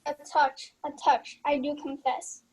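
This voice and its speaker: Proud young nobleman and fencer played by a young woman, high pitch, little inflection, verse radio drama. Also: little pitch movement, a little slow, a little mellow, low-quality recording. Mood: sparring. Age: young adult. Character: Laertes, proud young male duelist turned remorseful. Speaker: student actor. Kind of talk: Shakespearean radio play acting.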